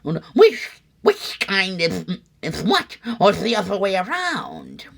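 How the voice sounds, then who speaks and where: A man voicing a snooty, excitable cartoon duck creature who squabbles in a lisping, spluttering, indignant voice. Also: high-pitched, slow, creaky, pitched above the performer's own voice, exaggerated voice. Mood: indignant, flustered. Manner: indignant, haughty, exclamatory.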